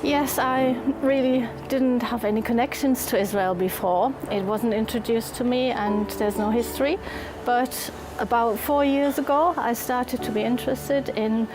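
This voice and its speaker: A woman in her fifties from Germany with a German accent gives a reflective, earnest personal testimonial as a volunteer, pausing and repeating phrases. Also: on the high side, noisy background. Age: 50s. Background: clear music under the voice.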